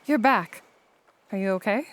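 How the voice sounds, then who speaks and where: A woman's voice: mid-range voice, normal speed, a bit bright, lightly acted. An actress playing a practical, reassuring female friend, speaking patiently in an audio play.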